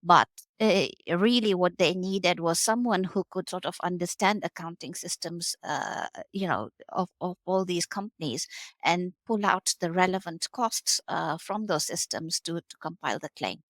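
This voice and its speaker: A woman's voice: on the low side, normal speed, a bit bright, no echo, studio quality. A woman in her forties from Kenya, a guest on a podcast interview, talking in a hesitant way with many fillers and restarts. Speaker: guest, R&D tax specialist.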